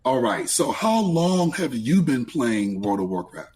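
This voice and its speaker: A man with a raspy voice, chatting in an easygoing, friendly way as a guest on a podcast interview. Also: medium pitch, sing-song, a little slow, a crowd in the background. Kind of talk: casual interview chat.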